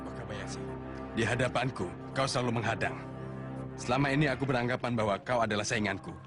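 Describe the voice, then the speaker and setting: A man's voice: medium pitch, quick pace, lightly acted. A man voicing a determined, self-sacrificing young goalkeeper in a dubbed sports anime, with dramatic delivery. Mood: brooding resolve. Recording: loud music behind.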